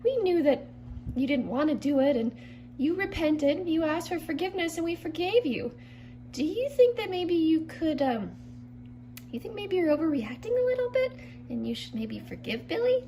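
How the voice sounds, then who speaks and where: A woman voicing a calm, sensible puppet friend in a children's skit, speaking gently and patiently as a counsellor. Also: extremely high pitch, moderate pace, slight background noise, heavily acted. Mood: gently reasoning.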